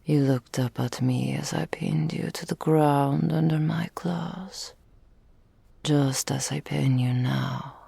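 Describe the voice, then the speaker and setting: A woman voicing a possessive, adoring dragon in human form for an intimate ASMR roleplay, with regal, old-fashioned phrasing and a breathy, often whispered delivery. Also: low voice, steady tone, warm tone, no room sound, heavily acted. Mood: fond reminiscing. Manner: slow, formal archaic phrasing, regal and doting. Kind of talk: intimate ASMR roleplay, scripted character acting. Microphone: close studio mic, dry.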